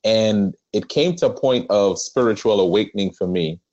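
A man with a Trinidadian accent, a pastor telling his life story as a podcast guest, with many pauses. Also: fairly low pitch, lively intonation, darkish tone, lo-fi sound. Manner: earnest storytelling with frequent "um" pauses. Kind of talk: conversational interview.

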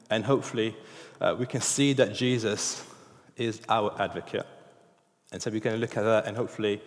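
A man in his thirties, French by birth, preaches from the front of a church hall. He talks informally, with plenty of fillers and restarts, at an ordinary middle pitch. A long reverb from the hall trails behind his voice. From France. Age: 30s. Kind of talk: sermon.

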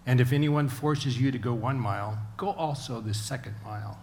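A man reading scripture aloud in a plain, deliberate way. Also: medium pitch, vocal fry, darkish tone, a little hiss or hum. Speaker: volunteer scripture reader.

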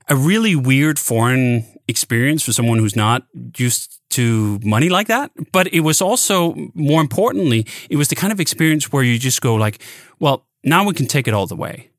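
A man hosts a relaxed podcast chat, speaking bluntly and emphatically, with the odd swear word and frequent restarts. His voice sits at an ordinary middle pitch. The sound is close and dry, with no room around it. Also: no echo. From Denmark. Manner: emphatic and frank, swears freely, restarts phrases. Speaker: podcast host. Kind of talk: casual podcast conversation.

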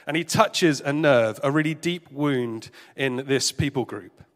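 A man preaching a sermon in a gentle, careful, conversational way, sounding a bit congested and nasal. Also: fairly high pitch. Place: church service hall. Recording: reverberant hall with faint music.

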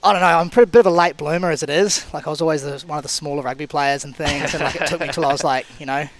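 A man in his thirties, a guest telling stories in a relaxed, rambling way with frequent restarts. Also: on the high side, rapid-fire. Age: 30s. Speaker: guest, CrossFit athlete and coach. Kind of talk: casual podcast interview.

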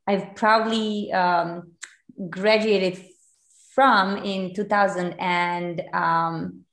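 A woman's voice: on the low side, steady tone, very slow, a bit bright. Romanian-born woman in her 40s chatting about her work, lots of ums and restarts, roomy echo. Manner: chatty, many fillers and restarts. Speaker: guest, realtor. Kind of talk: casual interview. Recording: echoey room.

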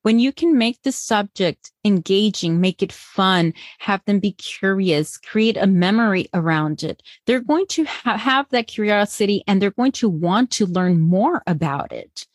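A woman's voice: mid-range voice, expressive, a little slow, hardly any pauses, dry recording, clean studio sound. A woman from Colombia, a bilingual parenting educator, answering interview questions in an earnest, encouraging way with frequent restarts.

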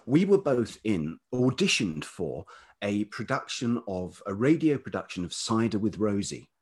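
A man's voice: fairly low pitch, sing-song, measured pace, husky. A man reminiscing conversationally as a podcast guest, in a casual, reflective way. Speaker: podcast guest, writer and producer.

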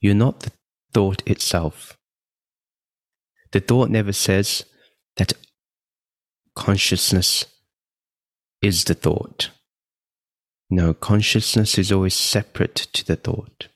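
Male meditation guide, very low pitch, unhurried and calm delivery with long pauses, dry close sound. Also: dry recording.

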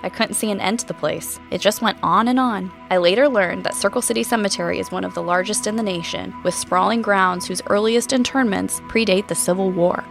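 A woman playing a thoughtful, inquisitive podcast investigator, narrating an audio drama in a measured, reflective way. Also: mid-range voice, gravelly, soft background music, natural voice.

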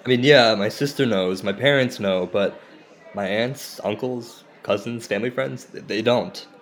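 An actor playing an insecure, self-deprecating comedian in a naturalistic audio drama, halting, confessional and profane. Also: male voice, lowish voice. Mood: sheepish, confessing. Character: insecure, self-deprecating Indian-American stand-up comedian, torn by shame.

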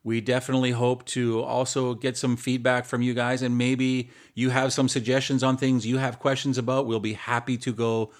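A man's voice: medium pitch, level tone, normal speed, hardly any pauses. Earnest man hosting a podcast. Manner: earnest, with some restarts. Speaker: podcast host. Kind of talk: casual podcast chat.

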